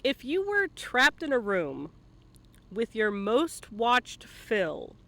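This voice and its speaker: A man's voice: extremely high pitch, huge pitch swings, slow, gravelly, sharp tone. A man hosting a casual live interview with playful, teasing banter. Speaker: interviewer and stream host.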